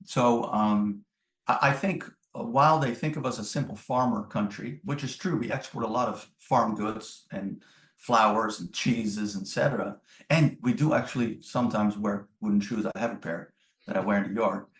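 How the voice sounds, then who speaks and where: A middle-aged man from the Netherlands, a native Dutch speaker, answers interview questions in a reflective, measured conversational way. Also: lowish voice. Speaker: podcast guest, business executive. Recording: roomy, echoing sound.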